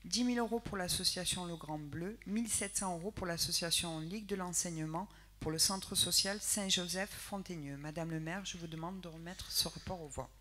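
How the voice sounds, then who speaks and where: Female council member, low pitch, slow hesitant reading of amounts. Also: bright, forceful tone, slight background noise. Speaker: council member presenting a report. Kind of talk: reading a formal report aloud. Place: municipal council chamber.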